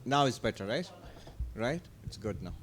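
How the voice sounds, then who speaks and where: A man, a monk teaching Vedanta, speaking in a calm, unhurried, gentle manner at a spiritual retreat in an ashram hall. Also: medium pitch, a little hiss or hum. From India. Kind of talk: spiritual lecture.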